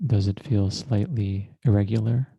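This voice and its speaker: Very slow, low-voiced man guiding meditation. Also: fairly even intonation, creaky, warm tone, breathy edge, dry recording, dull audio. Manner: deliberate, measured, with long pauses. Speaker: meditation teacher. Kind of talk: guided meditation.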